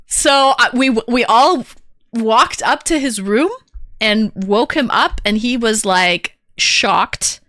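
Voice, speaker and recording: Woman streamer, bright tone, slightly high voice, dry close mic, candid rambling chat. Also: expressive, slow pace, dry recording. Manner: candid, rambling storytelling with many fillers. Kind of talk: casual chat to stream viewers.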